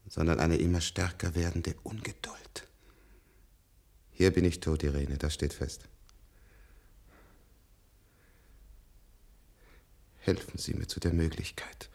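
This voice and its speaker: Weary elderly scientist, radio play. Deep, creaky voice, bitter, emphatic, stumbling over repeats. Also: male voice, a little mellow, heavily acted. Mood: urgent pleading. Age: older. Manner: halting, repeating words, emphatic. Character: weary, bitter old professor, captive of medicine, longing for death. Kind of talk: German radio play acting.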